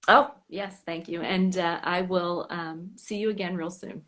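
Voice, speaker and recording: An American woman hosting a fan club live stream over a video call, chatting casually, sometimes trailing off into soft whispers. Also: fairly low pitch, steady tone, a little slow.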